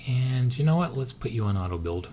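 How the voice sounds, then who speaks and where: A hoarse man casually thinking aloud, with many pauses and filler sounds, as he narrates his moves in a strategy game. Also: medium pitch, lively intonation, mellow, slight background noise. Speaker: let's-play gamer.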